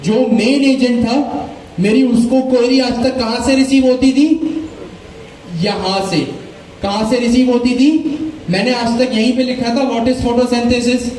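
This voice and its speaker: A man's voice: very high-pitched, steady tone, measured pace, darkish tone, a little hiss or hum. A man teaching a programming class, asking questions and answering them himself. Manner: asks questions and answers them himself, mixing English code terms. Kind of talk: live coding class lecture. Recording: echoey room, mic far off.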